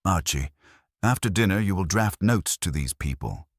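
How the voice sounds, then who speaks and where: Imperious older male sleuth read by a man for detective audiobook, bottomless low voice, deliberate and formal, dry sound. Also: expressive, dry recording. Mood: calm, calculating. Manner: formal, commanding, deliberate wording.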